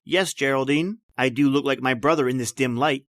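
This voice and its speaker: An accusing, ominous avenger , played by a man in a radio mystery. His medium-pitched voice is deliberate, and the recording is dry and close. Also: no echo, close to an everyday voice. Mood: smugly triumphant. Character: accusing man posing as his dead invalid brother. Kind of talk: old-time radio mystery acting.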